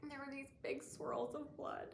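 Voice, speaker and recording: A woman playing a shaken young woman haunted by cruelty she witnessed, in a halting, emotional dramatic stage monologue. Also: sits high, fairly even intonation, pitched above the performer's own voice. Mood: stunned, grieving. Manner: halting, hushed and breathy, swelling into anguished cries. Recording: roomy echo, faint background noise.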